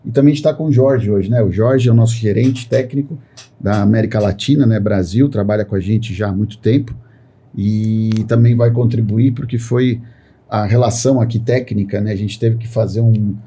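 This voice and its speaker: A man's voice: fairly low pitch, moderate pace, mellow, breathy edge. A man hosting a podcast, speaking in long rambling sentences that he keeps tagging with filler questions. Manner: rambling, constant "né" tag fillers. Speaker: podcast host. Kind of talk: podcast conversation.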